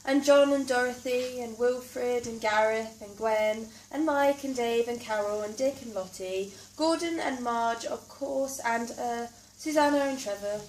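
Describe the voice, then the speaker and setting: A woman reading plays aloud as an amateur actor, brisk and chatty. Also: on the high side, a little mellow, slight background noise, lightly acted. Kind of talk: play reading, theatrical. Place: small theatre stage. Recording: roomy theatre from far off.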